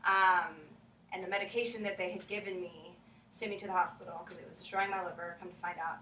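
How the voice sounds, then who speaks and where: A woman casually introducing a play, chatty and effusive, telling it in an animated way. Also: fairly low pitch, quick pace. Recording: roomy, distant mic, faint noise.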